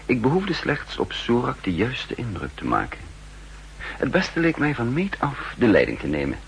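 An actor playing an undercover spymaster in a 1960s radio drama, guarded and wry beneath a genial surface. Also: male voice, medium pitch, huge pitch swings, moderate pace, creaky. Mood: confiding narration. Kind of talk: 1960s Dutch radio drama acting and first-person narration. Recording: 1960s radio recording, faint hum and noise.

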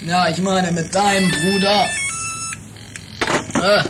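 A man's voice: sits high, fairly even intonation, slow pace, very noisy. A man playing a casual, slightly worried gambler in an improvised comedy radio play. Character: casual, worried casino gambler chatting with a companion.

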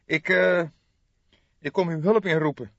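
A man reading an audiobook, voicing a distraught, hesitant younger man who pleads for help in a halting, emotional, trembling way. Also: fairly high pitch, steady tone, vocal fry, dry recording, highs cut off, voice pushed up. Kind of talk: audiobook character voice.

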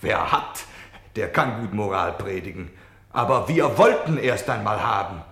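A man's voice: on the low side, lively intonation, unhurried, fairly bright tone, reverberant. A man playing a defensive, self-pitying Nazi official on wartime leave in a German radio play, justifying himself between subdued confession and indignant outbursts. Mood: agitated, bitter.

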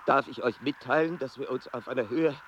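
An actor playing an exhausted, pedantic explorer in a radio play, speaking in a halting, strained way. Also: male voice, medium pitch, fairly bright tone, muffled recording, a little hiss or hum, natural voice. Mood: strained, confused. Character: exhausted, pedantic explorer struggling at high altitude.

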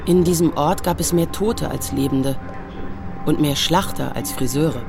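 A woman's voice: deep voice, measured pace, vocal fry. An actress playing a wry, reflective woman writer around forty in an audio drama. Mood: wry melancholy. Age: middle-aged. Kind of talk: literary first-person narration and acted dialogue in an audio drama. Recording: music behind the voice.

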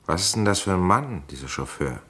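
A man playing a curious, nervously theorising playwright in a German crime radio play, musing in a hesitant, halting way. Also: sits low, animated, a bit bright, slightly rough, heavily acted.

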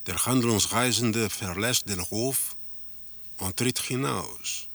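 A man playing a detached narrator in a literary radio drama, describing bleak, surreal scenes deliberately in a gravelly voice. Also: lowish voice, a bit bright, dry recording, full character voice. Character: male narrator of a bleak, surreal travelling salesman tale.

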